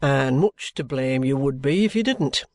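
A reader voicing a sly, genial middle-aged male detective with a cheerful, coaxing, unhurried manner. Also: medium pitch, animated, dry recording, studio quality, voice pushed down. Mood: wheedling. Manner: cheerful, coaxing, leisurely drawl. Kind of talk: audiobook character reading.

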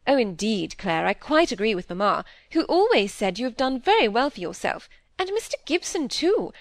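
Woman performing young noblewoman, high-pitched, very animated, imperious, teasing, world-weary, emphatic, period audiobook. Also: moderate pace, dry recording. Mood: breezy, emphatic. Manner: breezy, imperious, emphatic, playfully complaining. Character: aristocratic young lady, frank, teasing, world-weary, breezy. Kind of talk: audiobook character voice in a period novel.

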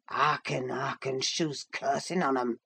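A woman's voice: low voice, expressive, slow pace, creaky, husky, exaggerated voice. A woman voicing an ancient, cantankerous male farm servant who speaks in rustic dialect in a classic novel. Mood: muttering curses. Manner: muttering and shouting in dialect.

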